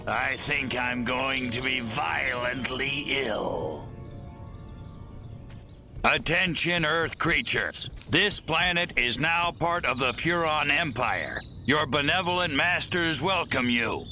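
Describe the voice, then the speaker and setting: A man's voice: medium pitch, lively intonation, takes it slowly, bright, forceful tone, faint music behind, full character voice. A man playing an arrogant, irritable alien invader in a video game, with a sardonic, pompous and emphatic delivery. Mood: disgusted. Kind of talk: animated video game acting.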